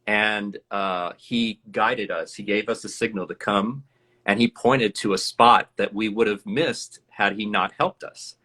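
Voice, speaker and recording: A man's voice: fairly low pitch, fairly even intonation, measured pace, sharp tone. A man from the USA, a guest in a live interview, telling a personal story in a reflective, earnest way and laughing now and then.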